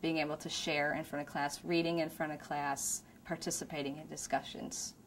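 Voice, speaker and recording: A woman's voice: sits low, flat delivery, moderate pace, slight background noise. A woman who teaches a class, talking sincerely and plainly in a documentary interview.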